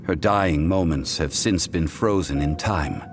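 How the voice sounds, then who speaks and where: A man voicing an ancient, wise god in human form, speaking in a calm, formal, measured way in a game cutscene. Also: low voice. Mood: solemn. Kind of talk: video game voice acting. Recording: game cutscene with loud music.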